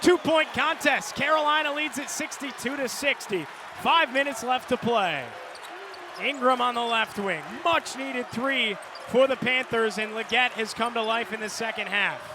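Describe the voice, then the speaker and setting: High-pitched American man calling basketball. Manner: clipped phrases, builds to excited calls on big plays. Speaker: radio play-by-play announcer. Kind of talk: live basketball commentary. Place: courtside in a large indoor arena. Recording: live arena broadcast, loud court noise behind. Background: loud arena noise, bouncing basketball.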